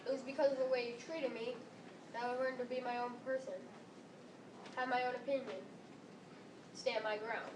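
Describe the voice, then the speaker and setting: A woman's voice: a little high, flat delivery, moderate pace, long pauses. A young teenage girl delivering scripted readers theater lines as a student performer. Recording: echoey room, distant mic, background noise.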